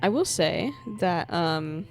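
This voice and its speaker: A woman's voice: on the low side, animated, slow pace, darkish tone. A young woman giving casual, slangy, jokey commentary as she reacts to a video game. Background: game music.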